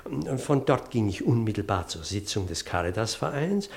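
A fussy male parish clergyman in a radio drama, with a creaky, gravelly voice that swoops up and down animatedly. He is earnest and pedantic, hesitating and digressing over every small detail, and is easily flustered. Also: lowish voice, very animated, normal speed. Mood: insistent, fussy. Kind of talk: German radio play acting.

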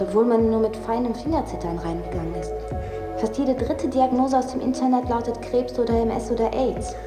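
A woman's voice: on the high side, close to an everyday voice. An actress playing an earnest, insistent woman friend in a radio drama, explaining and urging, in a roomy space with music and chatter behind.

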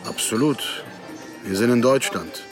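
A man's voice: medium pitch, a little slow, over music, natural voice. A man playing an unruffled, sarcastic bar owner under suspicion in a radio drama, ironic and mock-innocent. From Lebanon. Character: smooth-talking, sarcastic male bar owner, unruffled suspect.

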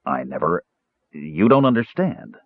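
A man's voice: extremely low pitch, sing-song, measured pace, dry recording, exaggerated voice. A worn-down warrior man, as a male narrator voices him, bleak and hollow, stumbling over words when cornered. The audio is dry and thin, pinched into a narrow phone-like band. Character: weary, trapped burly male warrior.

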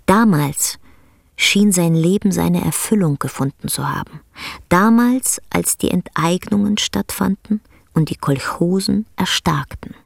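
A woman narrating a literary radio drama as a sober, measured storyteller. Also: lowish voice, creaky, no echo. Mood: intense.

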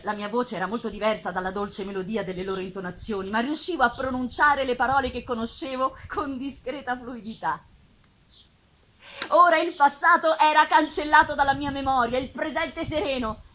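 A woman's voice: sits high, moderate pace, a bit bright. Woman dramatically reading a novel, noisy recording. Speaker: actress giving a literary reading. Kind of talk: dramatic first-person literary narration of a gothic novel. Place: live performance at a theatre festival.

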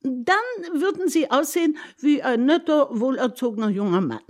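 A woman's voice: high-pitched, expressive, moderate pace. An actress playing a nosy, blunt, gossipy landlady in a German radio play. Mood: blunt, disapproving. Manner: scolding, gossipy.

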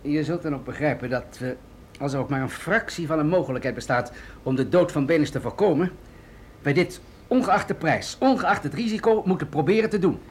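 A man's voice: on the high side, expressive, brisk, close to an everyday voice. Man acting a commanding general, faint background noise. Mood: grave, insistent. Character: authoritative military general, insistent and businesslike. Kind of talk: Dutch radio drama acting.

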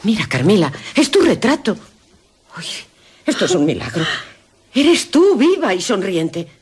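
Expressive young gentlewoman, husky voice. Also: medium pitch, a little slow, full character voice. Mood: excited, amazed. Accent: Castilian Spanish. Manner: dramatic, shifting from hushed narration to excited exclamation. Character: Laura, wistful, easily amazed young gentlewoman. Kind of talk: Spanish radio drama acting, narration and dialogue.

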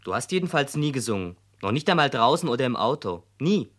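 An actor in a seventies radio play, playing a sceptical, contrary young son arguing with the parents. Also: male voice, medium pitch, very animated, brisk, a bit bright. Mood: challenging. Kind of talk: 1970s German radio play acting.

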